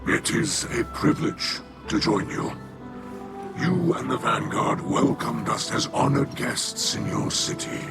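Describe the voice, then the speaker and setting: An honorable alien warrior in a video game cutscene. He speaks slowly and formally in a gravelly growl, earnest and gentle, with music playing underneath. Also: medium pitch, expressive. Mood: sincere, grateful. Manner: formal, slow, deliberate.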